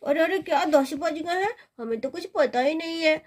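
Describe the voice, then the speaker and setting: A woman's voice: sits high, moderate pace, natural voice. A woman voicing a whiny, indignant young boy in a children's cartoon story. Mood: groggy. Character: indignant young boy, sleepy whining brother.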